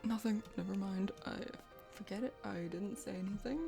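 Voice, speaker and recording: A woman's voice: medium pitch, fairly even intonation, normal speed, creaky, dark tone, soft background music, close to an everyday voice. A woman voicing a proud but bashful young hunter prince with a crush, haughty and easily flustered, in an ASMR play-through of a dating game. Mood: flustered, indignant.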